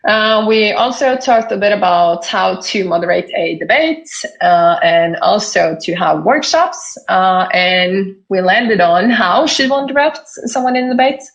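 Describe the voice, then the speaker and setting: A woman's voice: mid-range voice, lively intonation, unhurried, dull audio. A woman hosting and moderating an interview, friendly and conversational, summing up points and steering the talk. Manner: friendly, sums up and moves the talk along. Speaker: host and moderator.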